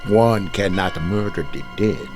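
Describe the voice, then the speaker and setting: An actor playing a sinister, scheming doctor in a theatrical old-time radio drama style. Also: male voice, low voice, measured pace, music in the background. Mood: sinister, solemn. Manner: theatrical, melodramatic. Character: scheming crooked male doctor with a sinister calm.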